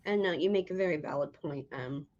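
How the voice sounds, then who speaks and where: A woman with a fairly low voice hosts a casual interview. She chats informally and puts questions to her guest. The audio is a little dull and muffled. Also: brisk, darkish tone. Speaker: interviewer and host.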